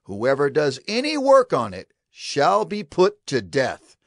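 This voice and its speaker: An actor playing a male prophet who solemnly instructs his people with deliberate, declamatory phrasing in a dramatized scripture reading. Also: fairly high pitch, wildly swooping pitch, a bit bright, dry recording, clean studio sound, exaggerated voice. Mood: solemn command.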